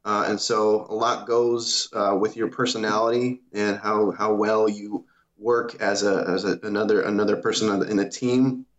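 Low-voiced modest man on dull audio. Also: level tone, normal speed. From Iowa, USA. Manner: modest, hesitant, many fillers. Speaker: guest animator. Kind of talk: casual interview.

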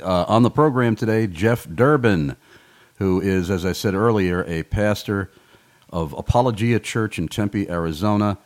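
A man's voice: lowish voice, darkish tone. A man hosting a radio interview in a jovial, joking way. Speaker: radio host.

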